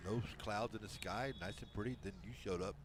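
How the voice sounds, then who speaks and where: A man with a big, loud voice calling high school football play-by-play from a press box, mixing calls with folksy jokes. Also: a little fast, music in the background. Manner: folksy, joking banter between calls. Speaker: play-by-play announcer. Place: press box at outdoor stadium.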